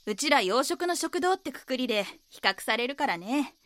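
Frank diner woman, high voice. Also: expressive, normal speed, fairly bright tone, dry recording. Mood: frank, defensive. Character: plain-spoken woman running a local diner, defensive, frank. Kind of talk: audio drama dialogue, casual speech.

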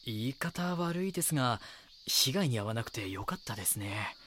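男性が演じる抑揚豊かな若い雑誌記者. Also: male voice, mid-range voice, animated, normal speed, fairly bright tone, slight background noise, lightly acted. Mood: polite. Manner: polite and deferential to others. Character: eager young male magazine reporter, polite, dogged. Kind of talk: Japanese audio drama dialogue and narration.

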